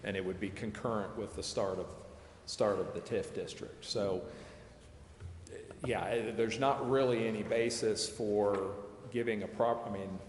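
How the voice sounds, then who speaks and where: An American man explaining policy at a public meeting in a measured, halting way. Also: on the low side, fairly even intonation. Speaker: advisor explaining city tax district plans. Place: council chambers. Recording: echoey council chamber, background hiss.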